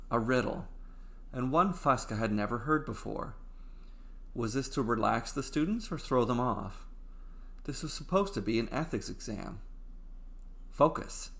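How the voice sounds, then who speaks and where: A man reads scripted fiction as a storyteller. His mid-pitched voice moves in measured phrases, broken by dramatic pauses. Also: fairly bright tone. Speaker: storyteller, narrator.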